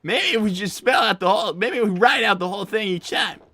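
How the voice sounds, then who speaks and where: A woman's voice: mid-range voice, animated, brisk, no room sound, studio quality. A woman playing a brash, hot-headed cartoon character in a live improvised show, speaking emphatically and combatively. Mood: insistent. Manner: emphatic, combative, often shouting. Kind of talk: live in-character improv reading chat messages.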